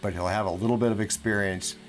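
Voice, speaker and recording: A man giving conversational financial advice in an emphatic, deliberate manner, repeating words for emphasis. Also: lowish voice, fairly even intonation. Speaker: financial coach and podcast guest speaker. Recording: music playing behind the voice.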